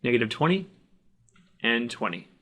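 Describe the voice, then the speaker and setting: A man with a mid-pitched voice narrates a software tutorial as the instructor. He talks plainly and practically, explaining each action step by step as he performs it. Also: sing-song, moderate pace. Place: voice-over of a screen recording.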